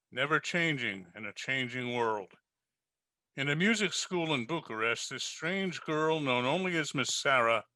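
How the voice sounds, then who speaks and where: An actor as the solemn narrator of an old-time radio drama, measured and dramatic. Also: male voice, medium pitch, sharp tone, dry recording.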